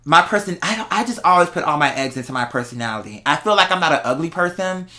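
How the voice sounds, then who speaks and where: A vlog host chatting casually and candidly to viewers, telling stories in an animated, slangy way. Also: medium pitch, fast. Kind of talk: casual confessional chat to viewers.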